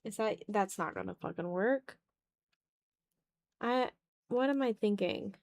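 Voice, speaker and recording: A woman's voice: medium pitch, measured pace, gravelly, darkish tone, no echo, studio quality. A woman in her twenties chatting casually on an art livestream, muttering to herself while drawing, self-deprecating and casually sweary. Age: 20s. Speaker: art streamer. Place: room at home.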